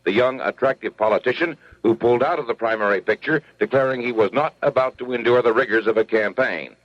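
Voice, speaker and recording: A male correspondent delivering a scripted radio news report in a crisp, formal way. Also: medium pitch, no echo. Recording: dry, dull lo-fi radio audio.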